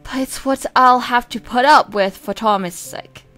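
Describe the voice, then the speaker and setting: A woman's voice: fairly high pitch, unhurried, fairly bright tone, soft background music. A woman voicing a proud, prim male twin in an amateur comic dub, haughty and theatrical but grudgingly kind. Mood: awkward, reluctant.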